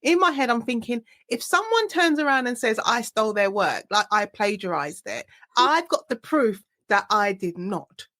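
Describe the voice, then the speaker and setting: A woman's voice: very animated, normal speed, few pauses, a bit bright, dry recording. Rambling conversational woman host. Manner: conversational, rambling, acts out quotes in a low mock voice. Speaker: podcast host. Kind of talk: casual interview chat.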